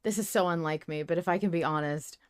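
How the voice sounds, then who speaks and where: A woman's voice: fairly low pitch, fast talker, clean tone. A woman co-hosting a podcast, talking casually about a fiction show and reasoning things through in a wry, pragmatic way. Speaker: podcast co-host.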